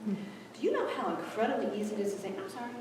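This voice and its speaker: A woman who grew up in Ethiopia gives a lively conference talk and acts out bits of dialogue as she goes. Her voice is picked up from a distance and rings through a big echoey hall, with a steady hiss of room noise underneath. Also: medium pitch, fast. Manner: animated, acts out quoted dialogue. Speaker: conference speaker. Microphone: distant room mic.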